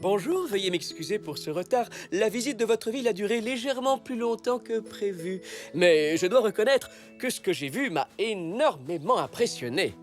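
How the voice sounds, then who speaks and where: A man's voice: high-pitched, animated, moderate pace, faint music behind. A man voicing a formal, ceremonious zebra contest judge in a children's cartoon, announcing pompously. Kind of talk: French children's cartoon dubbing.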